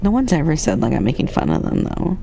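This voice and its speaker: Chatty host streamer, rambling, mid pitch, faint background noise. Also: wildly swooping pitch, fast talker, creaky, warm tone. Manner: rambling, chatty, trailing restarts. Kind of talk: casual stream chat.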